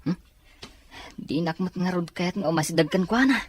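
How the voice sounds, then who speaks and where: A woman's voice: fairly low pitch, normal speed, slightly rough. A woman playing an earnest, persistent young male student in an audio drama. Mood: dejected.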